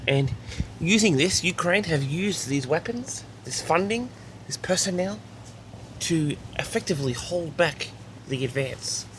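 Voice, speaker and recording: A man's voice: fairly high pitch, lively intonation, measured pace, a bit bright, a little hiss or hum, poor audio. Self-important man lecturing his audience. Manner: self-important, grandiose, keeps addressing the audience. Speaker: host of a comedic audio log. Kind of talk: mock-serious monologue to an audience.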